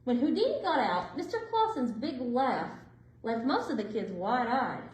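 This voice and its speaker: A woman reading a children's storybook aloud in an expressive, deliberate way. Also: a little high. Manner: animated, deliberate phrasing. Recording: roomy indoor echo.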